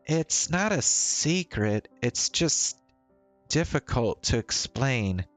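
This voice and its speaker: A man's voice: medium pitch, very animated, slow. A man voicing a chatty, playful young woman in a visual novel, with a teasing, breezy manner. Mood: thoughtful. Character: young woman, casual, chatty, playful story-game heroine. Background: faint music.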